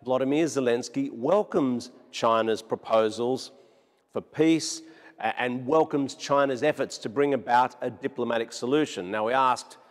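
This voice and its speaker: Male foreign correspondent, medium pitch, explanatory report, long reverb on the voice. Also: normal speed. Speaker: news correspondent. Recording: long reverberant room echo.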